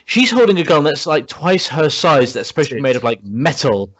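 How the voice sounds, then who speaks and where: Lively man on a dull recording. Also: on the high side, a little fast. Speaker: podcast co-host. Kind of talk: casual group chat about films.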